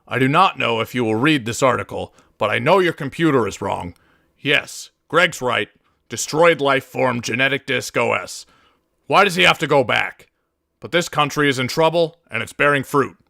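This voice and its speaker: Brief: male reader for scripted game monologues, forceful bright tone with a gravelly edge, mid pitch, dry recording. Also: expressive, moderate pace, no room sound.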